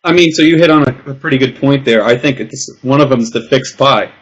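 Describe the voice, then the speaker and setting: A man leads a casual panel talk, winding through long questions with plenty of filler and the odd jab at a guest. His voice sits at a middle pitch. The recording is dull and lo-fi. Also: a little fast, breathy edge. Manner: teasing, wordy questions with filler. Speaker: podcast host. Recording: dull lo-fi sound.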